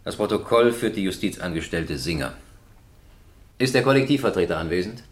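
Stern, low-voiced male judge. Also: expressive, a little fast. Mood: formal, procedural. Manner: formal, official, commanding. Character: stern, formal male presiding judge in court. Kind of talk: radio courtroom drama acting.

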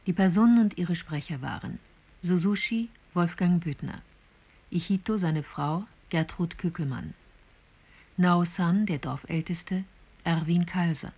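A woman announces radio play credits formally. Her voice is husky and she lists each item very slowly. The recording is dull and old. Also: on the low side. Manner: measured, formal listing.

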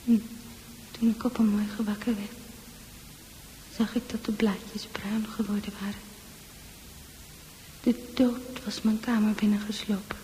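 An actress playing a fragile, haunted female psychiatric patient in a radio drama, halting and dreamy, with a breathy, often near-whispered delivery. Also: medium pitch, flat delivery, mellow, natural voice. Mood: dreamy, melancholy. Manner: halting, poetic, lost in thought. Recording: faintly noisy old radio play recording.

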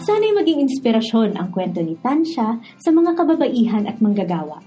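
A woman's voice: on the high side, sing-song, fairly quick, mellow. A woman with a Filipino accent hosting a radio drama series and reading scripted narration. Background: background music.

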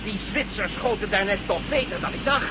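A man's voice: high voice, fairly quick, natural voice. A man playing a cocky, sardonic pilot in a science-fiction radio play, wry and emphatic. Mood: sardonic, defiant. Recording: noisy old radio play, aircraft drone.

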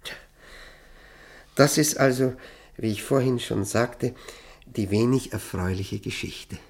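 A man's voice: medium pitch, takes it slowly, vocal fry. A man acting a courteous, nervous former prisoner in a radio play, speaking hesitantly and reflectively with formal politeness. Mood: confiding, reflective. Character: haunted Austrian lawyer, former Gestapo prisoner, courteous and nervous. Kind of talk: German radio play acting, confiding narration.

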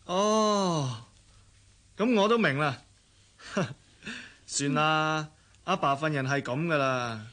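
An actor with a Hong Kong Cantonese accent playing an easygoing young adult elder brother in a radio drama, wry and reflective. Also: male voice, a little high, very slow, pauses often, fairly bright tone. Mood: wry, teasing.

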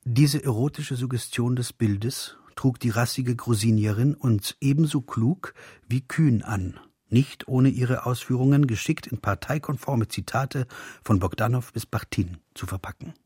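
A man's voice: mid-range voice, unhurried, a little mellow, slightly rough, dry recording, clean studio sound. An actor playing a sensual, seductive interpreter, speaking poetic radio drama monologue intimately and savouring his phrases. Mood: admiring, suave.

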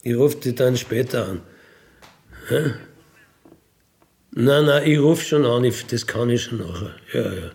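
A middle-aged man with a Bavarian accent tells first-person anecdotes casually, rambling along with colloquial tag questions and throat clearing. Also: medium pitch, measured pace, pauses often, a little mellow. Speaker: storyteller, self-described burglar.

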